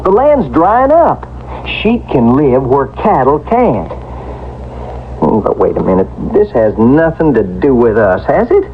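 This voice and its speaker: A man's voice: medium pitch, very animated, unhurried. An American man acting a jealous, blustering young rancher in a radio western, insistent in manner. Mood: exasperated. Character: hot-headed, jealous young rancher suitor. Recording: muffled, noisy 1970s radio broadcast.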